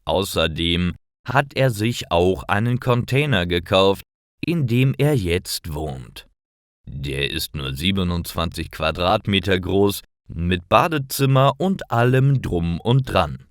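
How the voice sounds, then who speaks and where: A man narrating simple scripted stories for language learners, reading carefully in clearly phrased short sentences. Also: low-pitched, lively intonation, takes it slowly, no echo, clean studio sound.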